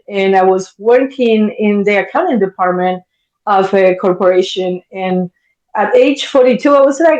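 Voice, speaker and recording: A woman's voice: mid-range voice, a little mellow, roomy sound, dull audio. A woman in her fifties from Argentina, a therapist guest talking bluntly and directly, swearing freely. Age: 50s. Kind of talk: candid interview talk.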